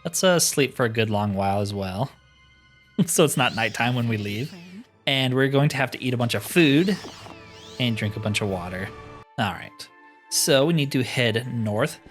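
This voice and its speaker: A man's voice: medium pitch, lively intonation, moderate pace, faint music behind. A man giving casual, slangy, informal commentary while playing through a roleplaying game. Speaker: game streamer doing roleplay playthrough.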